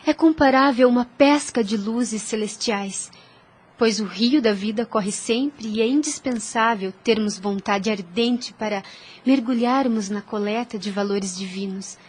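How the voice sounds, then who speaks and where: An actress with a Brazilian accent playing a gentle, wise young girl who teaches faith earnestly in an audio drama. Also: mid-range voice, close to an everyday voice. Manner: earnest, preaching, measured.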